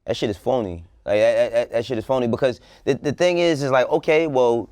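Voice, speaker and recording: A man's voice: mid-range voice, fairly quick, a little mellow, no room sound. Blunt, slangy man debating, dry sound. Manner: blunt, slangy, repeats words for emphasis. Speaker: co-host of a music news show. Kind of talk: casual opinionated panel debate.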